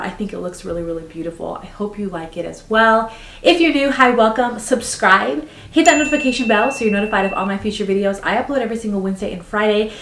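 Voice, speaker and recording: A woman vlogger chatting through a makeup tutorial, upbeat and full of fillers, her voice a little raspy from just waking up. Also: mid-range voice, reverberant. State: slightly raspy, just woke up. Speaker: beauty vlogger. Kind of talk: casual makeup tutorial talk.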